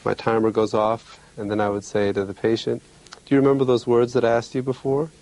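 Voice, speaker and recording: A man speaking as a clinician demonstrating an exam, giving polite, measured, clearly worded instructions and explanations. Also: mid-range voice, level tone, darkish tone, slight background noise, poor audio.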